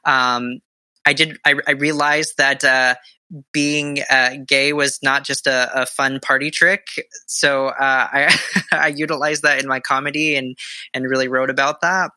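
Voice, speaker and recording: A man in his twenties from Southern California, chatting as a guest host on a podcast, halting with many fillers and restarts. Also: mid-range voice, flat delivery, pushed and bright, dry recording. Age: 20s. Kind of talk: casual podcast chat.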